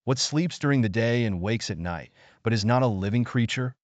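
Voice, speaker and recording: A man's voice: mid-range voice, expressive, normal speed, no room sound, close to an everyday voice. A man voicing a solemn, massive stone statue guard in a children's storybook. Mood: solemn challenge.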